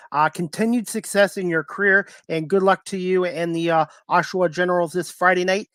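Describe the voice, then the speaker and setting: A man's voice: high voice, fairly bright tone, no echo, clean studio sound. A man hosting a sports talk show and interview.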